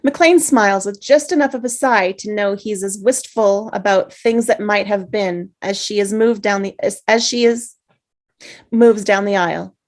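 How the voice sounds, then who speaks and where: A woman narrating the stage directions in a table read, with steady, measured script reading. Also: mid-range voice. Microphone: video call.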